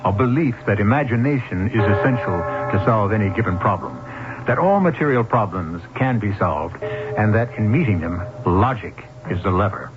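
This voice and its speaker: A man hosting a radio mystery show with scripted narration, measured and formal. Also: fairly low pitch, animated, over music. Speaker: host and narrator. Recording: dull vintage radio broadcast.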